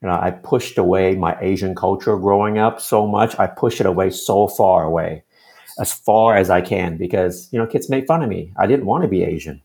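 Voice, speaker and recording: A man hosting a podcast, chatty and relaxed, who often ends his points with tag questions. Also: low voice, normal speed. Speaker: podcast host. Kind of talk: casual interview.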